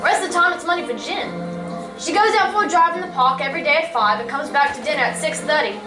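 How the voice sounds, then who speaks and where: A high-pitched, gossipy London servant, played by a woman in a radio play reading. The talk is colloquial and chummy, echoing around a stage and picked up from a distance. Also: fast talker, over music, natural voice. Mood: chatty, friendly. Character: chatty working-class London servant, former carriage driver. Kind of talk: old-time radio drama reading on stage. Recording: echoey stage recording from far off.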